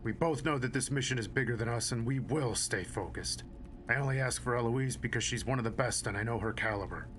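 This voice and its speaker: An actor playing an earnest, respectful ranger soldier in an audio drama, measured and formal. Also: male voice, medium pitch, a bit bright, slight background noise, natural voice. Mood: earnest persuasion.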